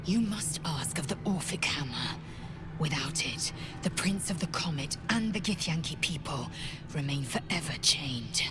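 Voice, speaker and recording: A woman's voice: deep voice, expressive, normal speed. An actress voicing a grave female adventurer in a video game, formal and intent, with commanding phrasing. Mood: grave, urgent. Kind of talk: video game character acting. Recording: game music behind the voice.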